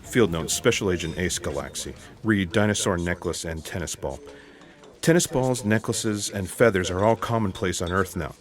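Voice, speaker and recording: An actor playing a serious, methodical special agent in sci-fi audio theatre, deadpan and deliberate. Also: male voice, deep voice, natural voice. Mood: deadpan, musing.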